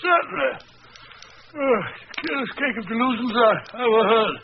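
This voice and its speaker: An actor playing a bluff, genial British doctor sidekick with a hearty, blustery manner in 1940s radio style. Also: male voice, very high voice, lively intonation, moderate pace, vocal fry, full character voice. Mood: puzzled, blustering. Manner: blustery, hearty, old-fashioned. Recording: muffled noisy old radio broadcast.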